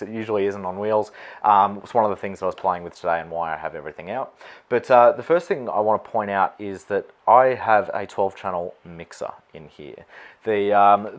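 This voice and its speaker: Low-pitched Australian man, informal explainer. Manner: informal, conversational, mildly sweary. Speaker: wedding celebrant presenting his gear. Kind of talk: unscripted product explainer to camera.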